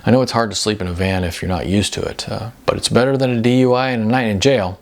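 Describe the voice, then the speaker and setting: A world-weary older man, played by a male audiobook reader, low and gravelly. He is laconic and easygoing, pausing as he explains himself. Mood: apologetic. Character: laid-back, world-weary older man living modestly. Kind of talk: audiobook character dialogue.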